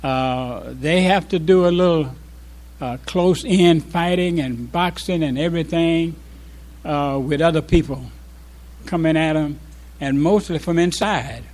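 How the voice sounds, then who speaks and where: An older man with a voice a little higher than average gives an informal address as a former imam. He reminisces reflectively to a congregation. His delivery is halting, often pausing partway through a thought. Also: a little mellow. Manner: halting, reflective, with pauses mid-sentence.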